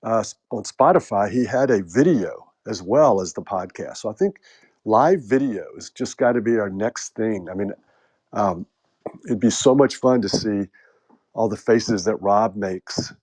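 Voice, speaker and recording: A man's voice: fairly low pitch, a little slow, darkish tone, husky. A man with an American accent hosting a casual group chat, rambling and joking with lots of fillers. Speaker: host.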